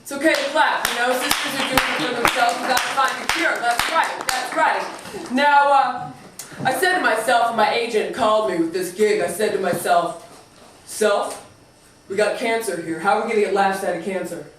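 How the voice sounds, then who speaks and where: A woman's voice: on the high side, moderate pace, noisy background, natural voice. A woman playing a brash, self-righteous feminist stand-up comic, goading a live audience for agreement. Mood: brash, indignant. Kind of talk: live stand-up comedy sketch. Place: live theatre stage with audience. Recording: echoey theatre, distant, audible crowd.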